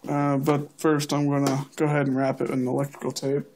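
A man's voice: medium pitch, brisk. A young man casually narrating a hands-on DIY job, offhandedly talking to himself as he works. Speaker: DIY hobbyist demonstrating a build. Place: indoor workbench. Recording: steady background noise, amateur camera audio.

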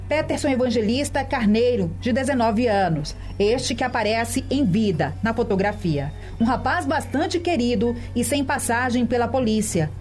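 Brazilian woman reporter, grave news delivery. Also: fairly high pitch. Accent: Brazilian Portuguese. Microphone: handheld mic. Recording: soft music bed behind voice.

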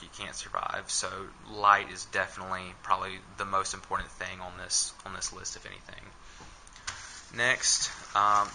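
A man's voice: deep voice, background noise. A young man filming himself indoors with a head-mounted camera, casually walking through his camping gear with many ums and uhs. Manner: hesitant, many fillers. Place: small indoor room.

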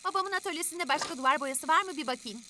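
A woman's voice: high-pitched, quick pace, natural voice. A woman voicing an upbeat, confident young girl in a dubbed children's cartoon. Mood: matter-of-fact. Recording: loud music behind the voice.

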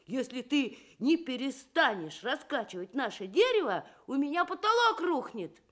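A woman's voice: high voice, sing-song, moderate pace, fairly bright tone. A woman voicing a grumpy, stubborn male badger in a children's radio tale, grumbling indignantly.

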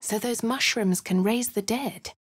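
An actress voicing a posh female adventurer with an English accent, speaking in a breathy, often half-whispered way. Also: a little high, unhurried, no echo. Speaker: voice actor for a player character. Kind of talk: video game voice lines. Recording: dry studio booth.

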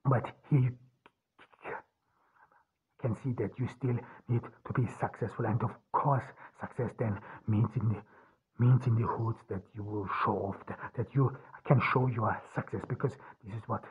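Husky man, halting monologue on tinny line. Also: mid-range voice, steady tone. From Austria. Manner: halting, many restarts and fillers. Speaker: podcast host. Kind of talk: solo spoken monologue.

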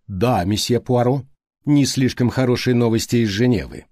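A man's voice: medium pitch, moderate pace, warm tone, no room sound, studio quality, lightly acted. A man voicing an efficient, literal-minded middle-aged female secretary in an audiobook, precise and matter-of-fact.

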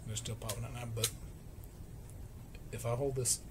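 Halting man narrating over clear background noise. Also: mid-range voice, level tone. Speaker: electronics repair vlogger. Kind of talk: informal hands-on repair narration. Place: indoor electronics workbench. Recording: clearly noisy workshop camera recording.

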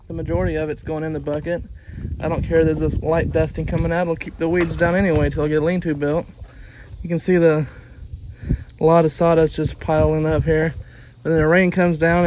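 Man, 30s, plainspoken how-to talker, slightly high and even; handheld phone outdoors with steady background noise. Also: low-quality recording. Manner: plainspoken, explains while working with his hands. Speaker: sawmill hobbyist showing tips. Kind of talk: casual how-to demonstration. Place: outdoors by a barn at a small farm sawmill. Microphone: phone camera held by the speaker.

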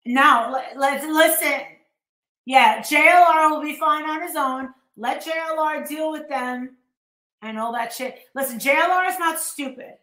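A woman's voice: high-pitched, measured pace, pushed and bright, reverberant. A middle-aged American woman ranting casually on a livestream in a blunt, scornful, sweary manner. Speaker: YouTube host. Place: living room at home, indoors.

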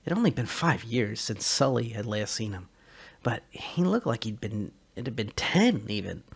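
A man narrates a story in a dramatic style. His voice is husky and highly varied in pitch, with emphatic, theatrical phrasing. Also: big pitch swings, moderate pace. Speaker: narrator reading a short story.

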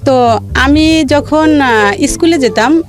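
High-voiced woman over music. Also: slow. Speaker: guest telling her life story. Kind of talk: personal storytelling in a radio interview.

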